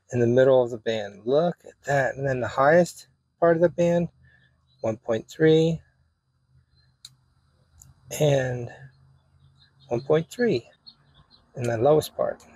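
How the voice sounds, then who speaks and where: A man's voice: medium pitch, slow pace, pauses often, a little mellow, dry recording, poor audio. A man giving a casual, hands-on demonstration as a hobbyist video host, with informal, folksy wording. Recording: clear background noise, ambient sound.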